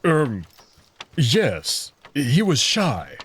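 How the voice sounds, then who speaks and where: A man playing a proud, sulky young male alien wolf noble in a visual novel, measured and haughty with some hesitation. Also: medium pitch, wildly swooping pitch, a bit bright, slight background noise, heavily acted. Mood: awkward.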